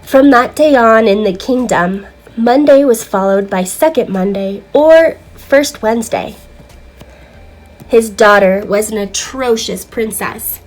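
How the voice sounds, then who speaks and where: Unhurried woman reading a children's story. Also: on the high side, low-quality recording. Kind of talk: expressive read-aloud storytelling for children.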